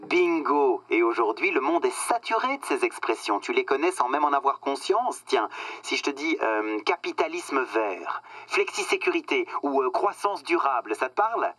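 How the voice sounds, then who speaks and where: A slick male corporate boss, with a bright, forceful edge and a dry studio sound. He coaches in a condescending, mock-earnest way, leaning on pauses to sell his cynical satire. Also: mid-range voice, very animated, no echo. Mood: pleased, lecturing. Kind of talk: satirical French radio drama acting.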